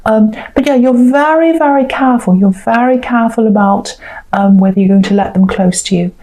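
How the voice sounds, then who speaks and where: A woman's voice: fairly high pitch, a little mellow, rough recording. A woman reading tarot cards off the cuff, speaking directly to the listener in a hesitant, reflective way and repeating phrases for emphasis. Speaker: tarot reader, host. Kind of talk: intuitive tarot reading, unscripted talk to the viewer.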